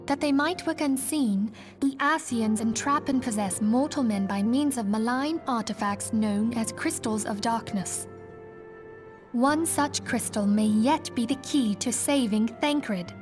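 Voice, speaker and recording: High-voiced gracious female leader, played by an actress, fantasy game cutscene, formal and earnest, faint music behind. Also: lightly acted. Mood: grave. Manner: formal, archaic, measured diction. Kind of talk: video game cutscene acting.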